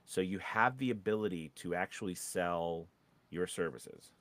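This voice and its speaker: A man giving casual, conversational business advice in an animated, halting way, often checking in with short tag questions. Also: deep voice. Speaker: podcast host and voice-over coach.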